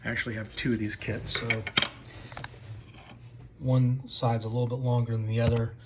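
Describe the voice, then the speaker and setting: A man's voice: medium pitch, level tone, moderate pace, very noisy. A man giving a casual, unscripted hobby product demo in a hesitant, rambling, plain-spoken way. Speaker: hobbyist video presenter.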